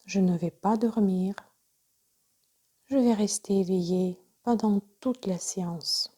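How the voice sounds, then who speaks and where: A woman leading a guided yoga nidra relaxation as instructor, speaking softly and breathily, often near a whisper, in calm, measured short phrases. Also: mid-range voice.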